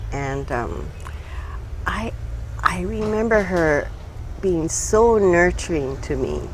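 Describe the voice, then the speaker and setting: An older woman from Hawaii, a retired social worker recalling her life in a relaxed interview, speaking haltingly with many pauses and fillers. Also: lowish voice, a little hiss or hum, rough recording. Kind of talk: casual reminiscing conversation.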